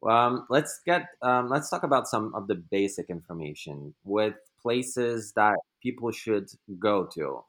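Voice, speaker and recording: A man's voice: on the low side, big pitch swings, measured pace, few pauses, fairly bright tone. A young man hosting a casual podcast interview, asking loose questions with fillers. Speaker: podcast host.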